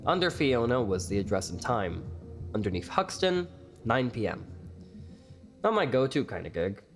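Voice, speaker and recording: Audio drama lead: sardonic, weary showman played by a man, lower-voiced, theatrical first-person narration over music. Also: very animated, moderate pace. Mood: wry, resigned. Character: wry, weary glamorous performer narrating his own story. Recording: music behind the voice.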